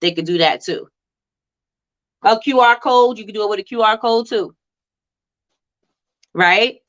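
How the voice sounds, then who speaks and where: Woman livestream host, stuffy nose, chatty and laughing, mid-pitched, slightly muffled audio. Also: a little slow, fairly bright tone. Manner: chatty, laughs often, repeats herself. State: stuffy and congested. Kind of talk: casual live-stream chat reading viewer comments.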